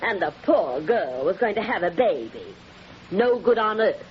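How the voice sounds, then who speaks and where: A woman's voice: medium pitch, very animated, measured pace, slight background noise. A woman playing a blunt, gossipy, disapproving village postmistress in a 1940s radio drama. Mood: scornful gossip. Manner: blunt, scolding, indignant. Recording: dull old radio recording.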